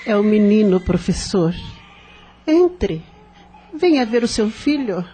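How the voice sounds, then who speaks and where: A woman playing a kindly, reassuring midwife in a radio play, comforting and unhurried. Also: mid-range voice, sing-song, mellow, slight background noise. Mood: announcing.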